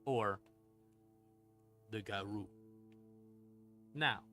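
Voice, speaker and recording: Male lore streamer, unhurried and theatrical, punchy bright tone, informal storytelling with dramatic pauses over faint ambient music. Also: lowish voice. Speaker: streamer explaining game lore. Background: eerie ambient music.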